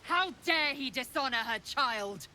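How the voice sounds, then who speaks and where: A woman's voice: high-pitched, lively intonation, measured pace, bright, forceful tone, slight background noise, exaggerated voice. A woman playing a proud, scornful alien warrior in a fantasy video game, imperious and clipped in her delivery. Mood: indignant scorn. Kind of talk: dramatic video game acting.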